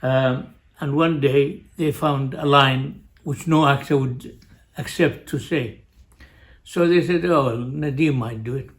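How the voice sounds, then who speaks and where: An older man with an Arabic accent telling a family story in a halting way, full of pauses and restarts. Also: mid-range voice. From Jordan. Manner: halting, many uhs and restarts, chuckles at own story. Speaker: family show guest, father. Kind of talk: storytelling, casual family chat.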